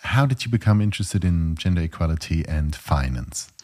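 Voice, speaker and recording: A man hosting a podcast, reading a measured, formal introduction and then asking interview questions. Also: low-pitched, lively intonation, darkish tone. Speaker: podcast host.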